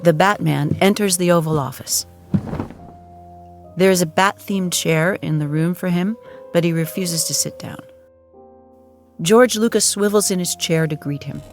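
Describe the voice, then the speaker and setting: Woman doing dramatic doc style narration, deep for a woman, creaky, serious and measured, music under it. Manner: solemn, measured, documentary-like delivery. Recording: clear music behind.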